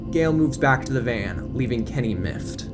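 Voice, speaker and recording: A man's voice: medium pitch, lively intonation, normal speed, loud music behind the voice. A man narrating an audio drama in scripted present-tense prose, reading scene descriptions and action.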